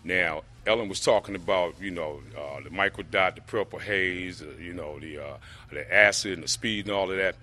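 A man with a deep voice telling his personal recovery story to a group, rambling with lots of fillers. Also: moderate pace, pushed and bright. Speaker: speaker sharing a recovery story.

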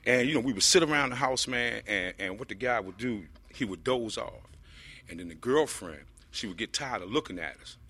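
A man with a deep voice telling his personal recovery story to a group, rambling with lots of fillers. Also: animated, brisk, bright, forceful tone, slightly rough. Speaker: speaker sharing a recovery story.

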